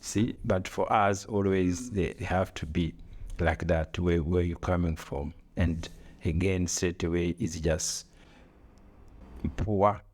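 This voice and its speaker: A middle-aged East African man speaks in a deep voice with accented, second-language English. He talks reflectively, as on a podcast, often repeating a phrase before finishing the thought. He poses rhetorical questions as he reasons. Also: darkish tone. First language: not English. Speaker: podcast co-host. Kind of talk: reflective conversational podcast talk.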